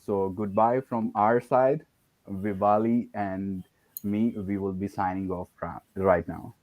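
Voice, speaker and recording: A man's voice: lowish voice, fairly even intonation, slow pace, a little mellow, no echo. A man with a Pakistani English accent hosting an online tech event on a video call, formal and polite. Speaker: event host. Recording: dull lo-fi sound.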